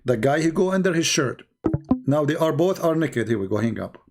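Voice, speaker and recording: A man's voice: on the high side, animated, a little fast, darkish tone. A man with an Arabic accent hosting a heated call-in debate, bluntly repeating his questions and pressing the caller. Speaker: host of a live call-in debate.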